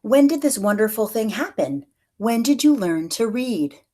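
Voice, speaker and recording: A woman reader plays a warm storybook mother. She is attentive and speaks in a wondering, questioning way. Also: a little high, animated, normal speed, pitched above the performer's own voice. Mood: amazed.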